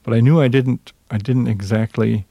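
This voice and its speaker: A man with a Minnesota accent, a jazz musician answering interview questions in a reserved, hesitant way with many pauses. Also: mid-range voice, steady tone, vocal fry, dark tone, no echo. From Minnesota, USA.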